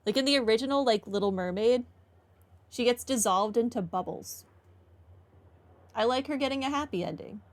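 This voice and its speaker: A woman's voice: a little high, animated, normal speed, pauses often. Chatty young woman streaming a game. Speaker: gaming playthrough streamer. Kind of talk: casual gameplay commentary, reading dialogue aloud.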